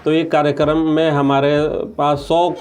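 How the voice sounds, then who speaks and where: A man's voice: on the high side, level tone, measured pace, darkish tone. A man answers as an official in an interview, explaining point by point with some English terms mixed in. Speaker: government official, interview guest.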